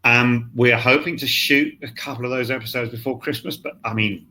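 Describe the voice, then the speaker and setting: A man's voice: mid-range voice, steady tone, fairly quick, bright, forceful tone. A man who is a scriptwriter guest on a panel, talking in a blunt, rambling way and restarting his phrases, over a video call.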